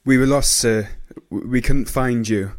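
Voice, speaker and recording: A man's voice: medium pitch, unhurried, lightly acted. A man playing a frightened young British private in a radio play, subdued and remorseful. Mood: anxious. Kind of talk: radio play acting and narration.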